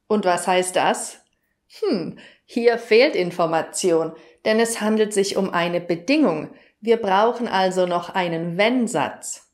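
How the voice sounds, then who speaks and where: A German woman teaching grammar in a lesson video, explaining clearly and asking the viewer questions. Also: lowish voice.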